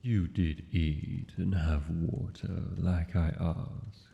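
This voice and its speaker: A man's voice: low-pitched, fairly even intonation, takes it slowly, gravelly, mellow, in a large hall, muffled recording, full character voice. A man playing a mad dragon king in an intimate fantasy roleplay, tender and possessive, speaking in formal, courtly endearments. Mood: tender, soothing.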